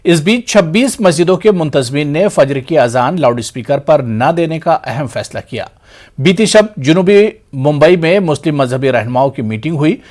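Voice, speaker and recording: A man's voice: fairly high pitch, lively intonation, normal speed, darkish tone. A man reading a scripted news voice-over with formal diction, as a reporter.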